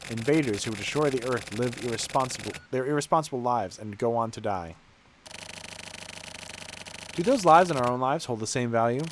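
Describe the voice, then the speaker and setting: A man reading game narration aloud in a measured, dramatic storytelling way. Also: medium pitch, noisy background.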